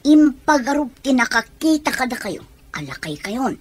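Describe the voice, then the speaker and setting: High-pitched eager crook's sidekick. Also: female voice, very animated, normal speed, breathy edge, exaggerated voice. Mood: fawning, amused. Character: eager, deferential sidekick to a thieving boss. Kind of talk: radio comedy drama acting.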